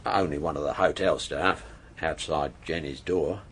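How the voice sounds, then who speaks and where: A man's voice: extremely low pitch, steady tone, normal speed, fairly bright tone, breathy edge, heavily acted. A man playing a witness in a radio drama, answering questions in a careful, hesitant, explanatory way.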